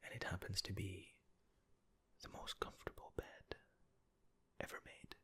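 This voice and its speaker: A man voicing a smiling, cheeky stranger who owns a magical yacht, in a soft, gently teasing whisper. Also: a little slow.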